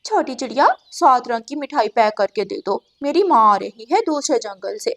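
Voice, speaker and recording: A woman voicing a greedy, haughty female crow with a sharp, scornful manner in a cartoon story. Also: fairly high pitch, animated, normal speed. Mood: demanding. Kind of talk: Hindi animated moral-story dialogue.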